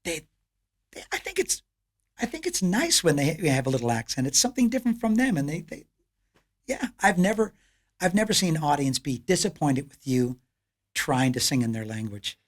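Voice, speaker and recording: A Canadian man guesting on a casual podcast, his voice sitting a little higher than most. He tells his stories with a lively, upbeat energy. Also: normal speed. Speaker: podcast guest, piano entertainer.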